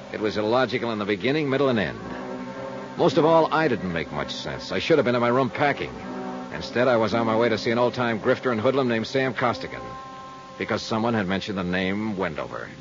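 A hard-boiled insurance investigator in 1950s radio noir, with a very low voice. He is terse, weary and wry. The old recording is muffled, with music. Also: big pitch swings, a little fast. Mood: brooding, wry. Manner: terse, dry, wry tough-guy delivery. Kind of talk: 1950s radio noir acting and first-person narration.

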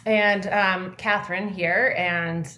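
A woman's voice: mid-range voice, fairly even intonation, slow pace, bright, forceful tone. Woman in her forties, echoey room. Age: 40s. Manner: chatty, storytelling. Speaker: podcast co-host. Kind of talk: casual chat.

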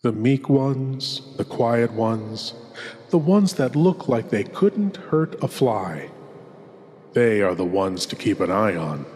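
A man hosting a horror show, delivering scripted narration in a theatrical, ominous manner with drawn-out phrasing. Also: medium pitch, darkish tone, a little hiss or hum. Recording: long cavernous reverb over music. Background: music.

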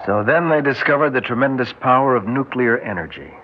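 An actor playing an authoritative alien expedition commander in an old-time radio drama, giving clipped prompts and orders. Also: male voice, mid-range voice, animated, brisk, natural voice. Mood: pressing. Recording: dull, noisy vintage radio recording.

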